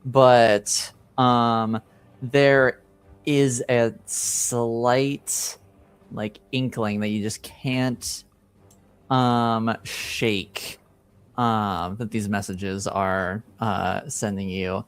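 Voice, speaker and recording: A man running a tabletop roleplaying game, narrating casually with hesitant pauses between phrases. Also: on the low side, little pitch movement, smooth. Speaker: game master.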